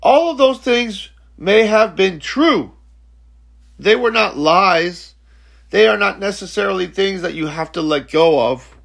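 A man in his forties giving a slow, reflective spiritual teaching talk, halting and repeating words, often checking in with "okay?". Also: high voice, lively intonation, vocal fry, a bit bright. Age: 40s. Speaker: spiritual speaker.

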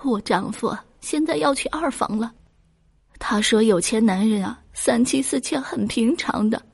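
A woman voicing an earnest, lovestruck young daughter in a folk tale, with an expressive, pleading delivery. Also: fairly high pitch, moderate pace, breathy edge, no room sound, pitched above the performer's own voice. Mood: tearful complaint.

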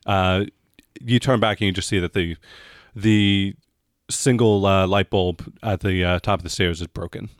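Guy game mastering a tabletop rpg, lowish voice, dry close sound, halting casual descriptions. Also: steady tone, no echo. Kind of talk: improvised narration of a tabletop game.

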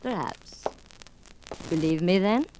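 An actress playing a mysterious, seductive and menacing woman in a radio drama, speaking in formal, measured, foreign-sounding phrases. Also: fairly low pitch, expressive, darkish tone. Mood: veiled threat. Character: mysterious, seductive, menacing female agent of exalted leader. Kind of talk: 1940s radio drama acting. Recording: noisy old radio recording.

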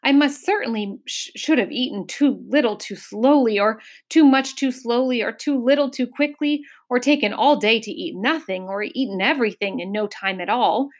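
A woman's voice: high-pitched, sing-song, moderate pace, hardly any pauses, pitched above the performer's own voice. A woman voicing a pompous, overfed male bug in a storybook, wordy and flowery, with a wheezy delivery. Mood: queasy, wheezing. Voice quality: wheezy, gasping.

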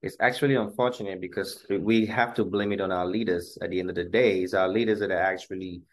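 A young man from Nigeria, a musician guest, explaining things in a measured, earnest way in an interview. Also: sits low, steady tone. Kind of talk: interview conversation.

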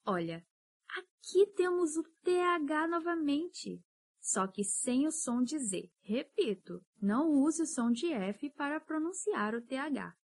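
A woman's voice: high voice, expressive. Encouraging woman teaching English. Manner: patient, encouraging, drills words slowly. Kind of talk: podcast pronunciation lesson.

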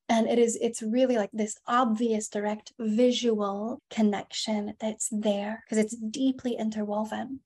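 A woman in her thirties speaking as a podcast guest, in a soft, flowing way with many fillers and drawn-out words. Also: fairly high pitch. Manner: soft, emphatic, lots of "like" and "you know", drawn-out words. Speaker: podcast guest, feminine embodiment coach. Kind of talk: flowing spiritual podcast talk.

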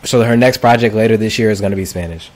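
A man's voice: on the low side, fast talker. A man hosting a casual music podcast, talking in a loose, laid-back way with plenty of filler words. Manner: laid-back, lots of "like" and "I feel like". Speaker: podcast host.

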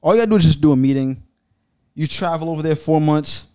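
A young American man chatting casually and excitably in slang, swearing freely and repeating himself. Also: fairly high pitch, fast talker, smooth, no room sound, studio quality. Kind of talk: casual group chat, slangy banter.

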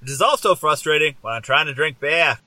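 A man's voice: fairly high pitch, expressive, a little fast, bright, forceful tone, no room sound. A man voicing a rude, hungry ghost of an Australian adventurer in a comedy skit, with an exaggerated, muddled Australian accent and cheerful matey slang. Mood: explaining. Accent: put-on muddled Australian. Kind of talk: improvised comedy character skit.

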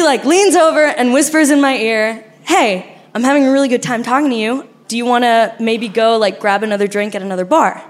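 A young woman from Argentina with an Argentinian accent, telling a frank, laughing story on a live stage. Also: on the high side. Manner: animated, laughing, frank and profane. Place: stage before a live audience.

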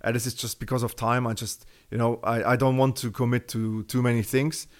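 A man's voice: mid-range voice, flat delivery, a little fast. A man from Germany with a German accent, speaking as a podcast guest in a casual interview, rambling with many fillers and restarts.

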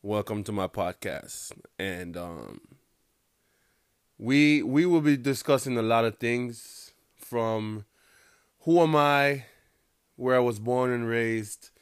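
A man's voice: mid-range voice, takes it slowly, long pauses, smooth, dry recording, studio quality. A young man from Jamaica with a Jamaican accent, hosting a casual solo podcast with rambling, profane talk full of "um"s. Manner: rambling, profane, laughs often, many "um"s. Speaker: podcast host.

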